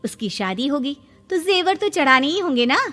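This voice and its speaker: A snappy, high-voiced young daughter-in-law, as a woman puts her on in a cartoon, forever complaining and quick to flare up. Her voice rings out with a long hall echo behind it. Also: very animated, sharp tone, exaggerated voice. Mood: bitter. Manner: snappy, complaining, shrill outbursts.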